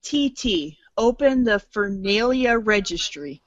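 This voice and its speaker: Female narrator, storybook-style scripted read, theatrical, mid-pitched, slightly lo-fi. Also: expressive, takes it slowly, no room sound.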